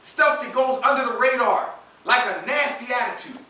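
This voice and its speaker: A man's voice: very high-pitched, normal speed, bright, forceful tone. A man in his thirties with an American accent preaching in an emphatic, colloquial style, full of rhetorical questions and acted-out dialogue. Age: 30s. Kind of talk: animated, conversational sermon. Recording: echoey room, distant mic, faint noise.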